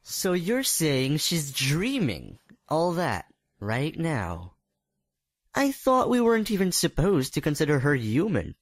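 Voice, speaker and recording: A man's voice: a little high, huge pitch swings, slow pace, no room sound, studio quality, heavily acted. A man playing an appalled, questioning young subordinate in a horror audio drama. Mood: skeptical.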